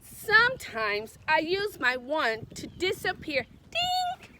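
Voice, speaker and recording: A woman's voice: extremely high pitch, huge pitch swings, slow pace, background noise, full character voice. A woman voicing a boastful, playful little fairy girl from a picture book, with childlike, theatrical emphasis. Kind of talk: picture-book read-aloud.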